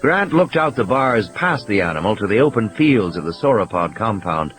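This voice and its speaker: A man's voice: fairly low pitch, sing-song, moderate pace, a bit bright. A man reading scripted fiction as an audiobook narrator, in a dramatic storytelling style, with music and sound effects behind him.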